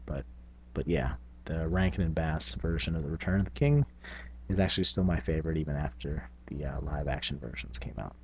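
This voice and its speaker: A man's voice: very deep voice, animated, brisk, vocal fry, dull audio, slight background noise. A man talking casually and at length as the host of a tabletop gaming video. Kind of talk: casual rambling talk.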